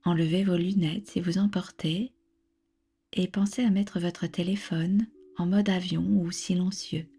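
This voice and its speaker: Breathy, mellow woman guiding relaxation. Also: lowish voice, fairly even intonation. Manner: soft, soothing, measured with gentle instructions. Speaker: yoga nidra instructor. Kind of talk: guided relaxation meditation.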